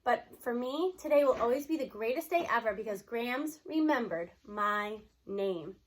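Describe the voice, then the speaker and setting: A woman reading a children's novel aloud in an expressive storybook style, acting out the dialogue. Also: on the high side, moderate pace. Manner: animated, acts out the characters' dialogue.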